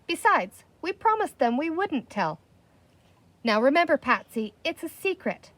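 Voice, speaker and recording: A woman reading a children's storybook, voicing a bossy, practical young girl who gives crisp instructions. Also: sits high, sing-song, a little slow, fairly bright tone, dry recording, pitched above the performer's own voice, heavily acted. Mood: firm, urgent.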